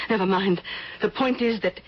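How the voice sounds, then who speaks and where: An actress playing a wealthy, aging female recluse lost in fantasy, speaking in a halting, dreamy, theatrical way in an old-time radio drama. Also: medium pitch, husky. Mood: dreamy, rapt. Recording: dull, muffled vintage radio recording.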